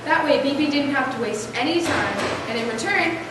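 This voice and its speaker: A woman's voice: high voice, animated, moderate pace. A young adolescent girl delivering scripted narration in a school readers theater performance. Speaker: student narrator in a school play. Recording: reverberant hall from far off, noisy.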